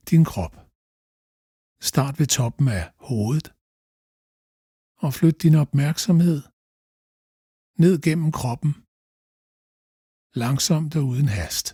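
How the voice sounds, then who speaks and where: A man leads a guided meditation in a dark, mellow voice with a breathy edge. His delivery is slow and calm, with gentle instructions and long pauses. The sound is close and dry. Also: a little high, no echo.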